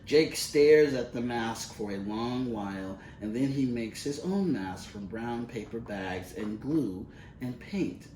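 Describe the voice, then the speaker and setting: A man reading a children's storybook aloud expressively, in measured, deliberate phrases with pauses. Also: mid-range voice, expressive, mellow. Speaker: picture book reader. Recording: roomy, distant mic with faint noise.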